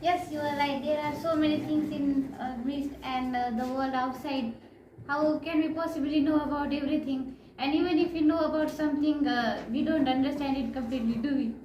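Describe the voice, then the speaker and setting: A woman with an Indian accent playing a reflective, agreeable village mother, with halting delivery, in an amateur stage drama. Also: sits high, steady tone, a little mellow, lightly acted. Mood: musing. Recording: echoey room, faint noise.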